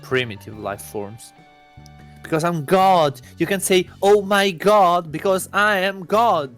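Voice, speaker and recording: A man's voice: high-pitched, slow pace, a bit bright, faint music behind, voice pushed up. A man voicing a smug self-proclaimed god posing as an awkward teenage student, pompous and theatrical, for a visual novel. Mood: smug, grandiose.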